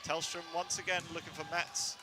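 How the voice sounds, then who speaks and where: Man, live sports commentary, headset in commentary box, noisy hall with bouncing balls, self-correcting, bursts of excitement. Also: a little high. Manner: rapid play-by-play with self-corrections and sudden excited rises. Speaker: play-by-play commentator. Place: commentary box beside an indoor court.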